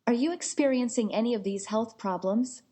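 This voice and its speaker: A woman's voice reading screening questions as an automated app prompt, in an even, neutral manner. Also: on the high side, normal speed.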